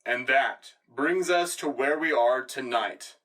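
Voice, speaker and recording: A man playing a solemn, patriotic news anchor in a scripted sci-fi radio drama, with measured, grandiose phrasing. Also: medium pitch, sharp tone, recorded from a distance, a little hiss or hum. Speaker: radio show host. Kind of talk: formal scripted news broadcast.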